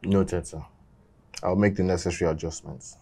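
A man's voice: fairly high pitch, very animated, normal speed, husky, full character voice. A man playing a cruel, hot-tempered young businessman and abusive husband in a film drama. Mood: sullen, muttering. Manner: contemptuous, repeats phrases mockingly, menacing.

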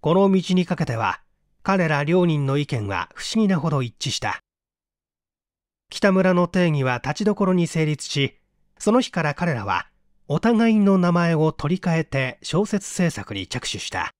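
A man reading literary fiction aloud as a narrator, in a theatrical, deliberate storytelling manner. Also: a little high, big pitch swings, no echo, studio quality. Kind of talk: dramatic literary audiobook reading.

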